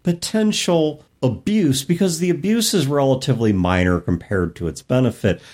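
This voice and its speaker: A man's voice: medium pitch, lively intonation, moderate pace, darkish tone. A man co-hosting a podcast, explaining tabletop gaming in a casual, detailed way. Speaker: podcast co-host. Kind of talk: casual panel discussion of tabletop role-playing games.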